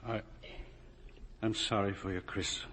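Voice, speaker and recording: A man playing an anxious, guilt-ridden older business partner in a 1950 radio drama, with a husky voice. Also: on the low side, fairly even intonation, bright, forceful tone. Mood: weary, sorrowful. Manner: anxious, halting.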